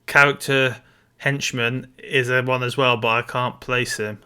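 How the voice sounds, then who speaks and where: A man's voice: medium pitch, level tone, measured pace, sharp tone, clean tone. A man co-hosting a podcast, talking casually about a film. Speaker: podcast co-host.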